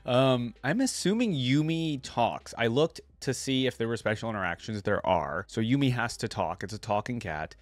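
A man's voice: mid-range voice, lively intonation, normal speed, few pauses, no echo. A young man hosting a reaction video, casually commenting and reading lore aloud in an easygoing, conversational way into a close desk microphone. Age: young adult. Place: indoor room at a desk.

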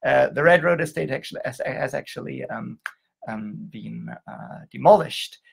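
A middle-aged man from Germany giving an online lecture over a video call, talking in a halting way with restarts. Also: medium pitch, gravelly, slightly rough. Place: indoor room, video call.

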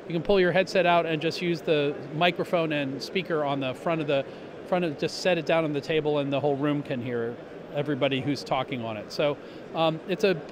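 A middle-aged man explaining a product in an upbeat way at a trade show booth, talking into a handheld mic, with lots of fillers and restarts. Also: on the high side. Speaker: company representative. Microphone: handheld interviewer mic. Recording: noisy trade show hall.

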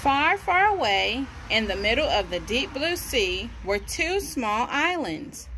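A woman's voice: a little high, sing-song, takes it slowly, bright, forceful tone, slight background noise, poor audio. A woman in her thirties reading a children's story aloud on a porch. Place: outdoors on a covered house porch. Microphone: camera or phone at about arm's length.

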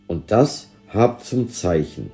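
A man's voice: lowish voice, big pitch swings, slow pace, mellow, faint music behind, heavily acted. A man voicing a majestic angel who proclaims great joy solemnly in a storybook. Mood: solemn proclaiming.

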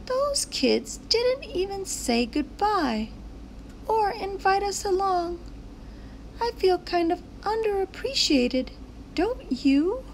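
A woman's voice: extremely high pitch, sing-song, slow pace, slight background noise, voice pushed up, full character voice, low-quality recording. A woman voicing a plucky, eager little stick-figure kid from a picture book, in a childlike exclaiming manner. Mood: put out. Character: excitable little stick-figure sign kids, adventurous and plucky.

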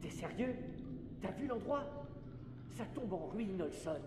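A mouthy young deckhand, grumbling and sarcastic, his fairly high voice swinging up and down. Long reverb and loud ambient hiss surround him. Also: expressive, unhurried. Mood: surly. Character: surly mouthy young male crewman. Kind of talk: French game dub.

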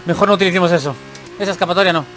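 A man chatting casually through a racing game, swearing and grumbling when things go badly. He talks quickly, in a slightly high voice for a man. Faint music sits behind him. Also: fairly bright tone. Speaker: gaming YouTuber. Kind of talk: casual gameplay commentary.

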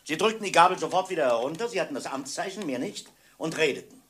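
Stern, forceful police inspector. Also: male voice, fairly high pitch, expressive. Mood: accusing. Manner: emphatic, deliberate, accusatory. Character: stern, methodical male police inspector unmasking a killer. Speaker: actor in a radio crime play. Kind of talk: German radio crime drama acting.

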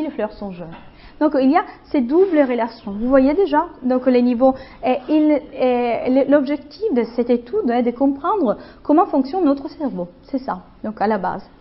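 A woman's voice: fairly high pitch, lo-fi sound. A woman with an Italian accent giving a university lecture in a hesitant way, full of fillers and restarts, often asking if the students follow. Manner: hesitant, many fillers and restarts, checks understanding. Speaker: guest lecturer.

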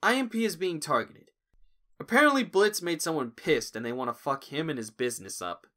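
A man's voice: a little high, expressive, a little fast, sharp tone. A man playing a curious, observant young human in an audio drama, talking in a casual, slightly hesitant way. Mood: nervous.

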